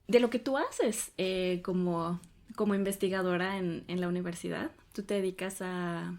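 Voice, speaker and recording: A woman's voice: lowish voice, steady tone, normal speed, darkish tone. A woman hosting a podcast interview. She asks her questions with small fillers and restarts. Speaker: podcast host. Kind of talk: scripted intro, then casual interview.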